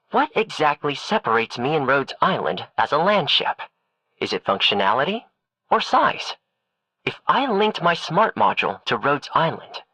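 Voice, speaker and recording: A man's voice: fairly high pitch, sharp tone, breathy edge, no echo, heavily acted. A man voicing a curious, philosophical robot in a game, talking in an inquisitive manner. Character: curious, philosophical male robot pondering identity. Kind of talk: scripted game voice lines.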